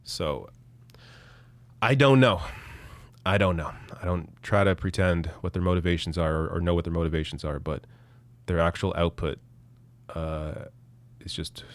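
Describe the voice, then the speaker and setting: A man in his thirties, hoarse from losing his voice, hosting a casual live political stream with blunt, profane commentary and frequent restarts. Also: sits low, normal speed, pauses often, no echo. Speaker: political show host reading viewer chat.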